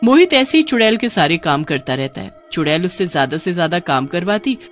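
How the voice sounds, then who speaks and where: A woman's voice: lowish voice, very animated, brisk, faint music behind. A woman storyteller giving dramatic scripted narration of a cartoon horror story.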